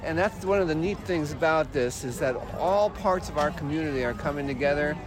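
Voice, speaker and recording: A man, a local musician, talking with warm enthusiasm outdoors at a parade. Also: a little high, a little fast. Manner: enthusiastic, expansive. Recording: music playing behind.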